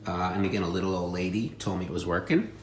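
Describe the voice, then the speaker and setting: A man's voice: low-pitched, fairly even intonation, fast talker, reverberant, background noise. A man showing secondhand finds in casual, offhand chat with a wry, joking manner.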